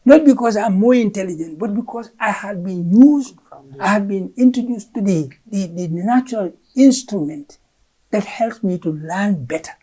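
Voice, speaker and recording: Gambian woman, mellow husky voice. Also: mid-range voice, normal speed, rough recording. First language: Fula. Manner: emphatic, repeats words when searching. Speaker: curriculum official, interview guest. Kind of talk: explaining education policy in an interview.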